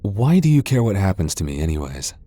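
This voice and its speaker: A man's voice: sits low, very animated, brisk, mellow, no echo. A man playing a proud, guarded tsundere vampire lord in an ASMR roleplay, with a haughty, sardonic and reluctant manner. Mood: wary, reluctant. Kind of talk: ASMR boyfriend roleplay audio drama.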